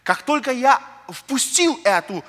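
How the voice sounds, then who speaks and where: A man's voice: very high-pitched, sing-song, measured pace, a bit bright. A middle-aged man preaching a conversational sermon, earnest and full of rhetorical questions, sometimes stumbling over words and restarting. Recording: long reverb of a large hall.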